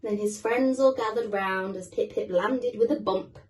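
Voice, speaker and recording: A woman guest reader giving an expressive children's storybook reading. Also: mid-range voice, moderate pace, low-quality recording.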